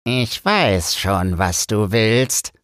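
A gloating old sea witch from a storybook, acted out by a man, mocking and scornful. The delivery is theatrical and slow, with big leaps up and down in pitch, recorded close and dry. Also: animated, fairly bright tone, no room sound, heavily acted.

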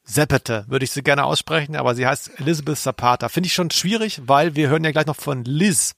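Gravelly, dry-humoured male podcast co-host. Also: fairly high pitch, a little fast, a bit bright, no echo. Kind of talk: casual chat about audio dramas.

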